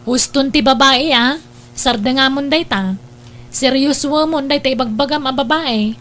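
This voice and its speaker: A woman's voice: high-pitched, moderate pace, fairly bright tone. A woman with an Ilocano accent playing a protective, teasing older sister in a family audio drama. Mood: teasing, probing. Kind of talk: Ilocano radio-style drama acting.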